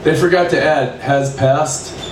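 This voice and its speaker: A man's voice: fairly high pitch, steady tone, takes it slowly. Man in his sixties telling dry one-liners. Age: 60s. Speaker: stand-up comedian. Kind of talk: stand-up comedy set. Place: open mic comedy venue. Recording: echoey room, distant mic, background noise.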